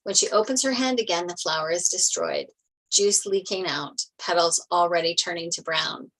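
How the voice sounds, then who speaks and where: A woman narrates a screenplay, reading out the stage directions. Her voice is a little low in pitch, in a table-read style. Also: very animated, moderate pace, fairly bright tone, rough recording.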